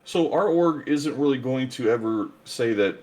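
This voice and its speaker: A man's voice: mid-range voice, lively intonation, moderate pace, darkish tone. A man guest in a casual interview, talking earnestly and gratefully about his gaming group.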